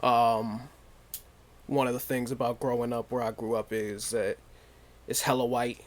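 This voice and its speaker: A man in his twenties from Maine, a rapper chatting as a podcast guest in a relaxed, easygoing way. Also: medium pitch. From Maine, USA. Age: 20s. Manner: relaxed, easygoing, quick affirmations. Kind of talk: casual interview chat.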